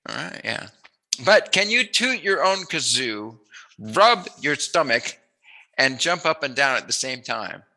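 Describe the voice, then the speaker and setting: A man's voice: mid-range voice, big pitch swings, unhurried, sharp tone, poor audio. A man hosting a casual chat interview, talking in a playful, jokey, musing way. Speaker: interviewer, host.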